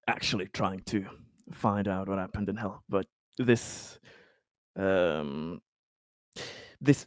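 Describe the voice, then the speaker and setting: A man roleplaying a paranoid, injured space colonist who speaks in a halting, sardonic, profane way. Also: deep voice, darkish tone, dry recording, studio quality. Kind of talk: in-character tabletop roleplay.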